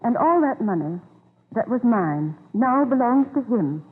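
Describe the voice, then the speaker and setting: A genteel widow and mother, aggrieved and plaintive in a 1930s radio drama. Her voice sits fairly high and a little rough, with careful, hesitant manners. The sound is thin and tinny, like an old broadcast. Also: big pitch swings, measured pace, heavily acted.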